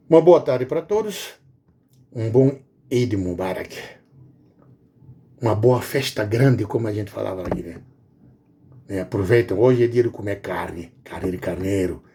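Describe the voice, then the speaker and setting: A man's voice: medium pitch, dark tone, slight background noise. A middle-aged man from Guinea-Bissau with a Guinea-Bissau Portuguese accent, giving an earnest, informal monologue to viewers and pleading with frequent hesitations. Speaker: video blogger.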